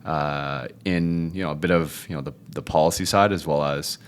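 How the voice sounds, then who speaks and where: Young male guest, Cantonese first language, extremely low voice, loose and chatty delivery. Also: moderate pace, clear, resonant tone. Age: 20s. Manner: relaxed, rambling, fond of the tag "if you will, right?". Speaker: podcast guest, realtor. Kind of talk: casual interview chat.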